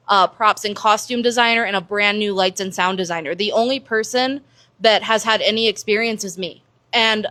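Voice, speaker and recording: An American woman gives conversational interview answers, explaining as she goes. Her voice is mid-pitched. The sound is dry, with little room on it. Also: a bit bright, no echo. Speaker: production stage manager, interview guest.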